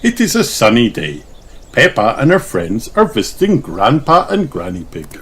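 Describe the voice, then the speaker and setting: A man reading a children's storybook in an unhurried, expressive way. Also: mid-range voice, noisy background. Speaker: audiobook reader.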